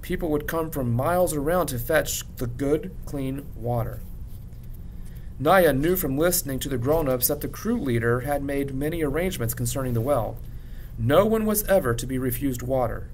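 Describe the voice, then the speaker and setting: A man narrates a children's story in a classroom read-aloud style. His voice sits at a middle pitch. A noticeable low rumble runs behind the recording. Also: animated, normal speed, darkish tone, rough recording. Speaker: teacher reading a book aloud to students. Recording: clear background rumble noise.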